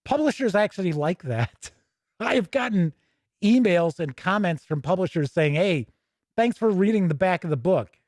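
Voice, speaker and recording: A man's voice: sits high, animated, normal speed, a little mellow, no room sound, studio quality. A middle-aged American man hosting a tabletop gaming livestream, chatty and conversational with playful asides. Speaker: host of a tabletop gaming show. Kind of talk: casual livestream commentary.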